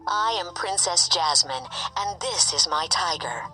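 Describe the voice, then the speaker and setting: A woman's voice: fairly low pitch, animated, a little slow, bright, forceful tone, faint music behind, low-quality recording. A woman voicing a brave, determined young princess in a storybook read-along. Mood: firm.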